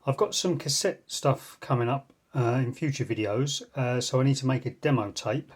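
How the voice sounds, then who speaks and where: Man, mid-pitched voice, somewhat rough-edged, casual hands-on commentary, dry self-deprecating asides, unboxing host. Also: normal speed. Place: indoors at a tabletop. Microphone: phone filming the tabletop.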